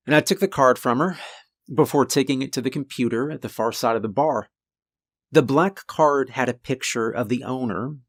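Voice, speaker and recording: Deep-voiced man narrating a horror story. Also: no echo, studio quality. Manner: calm conversational storytelling with asides. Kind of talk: first-person scripted horror narration.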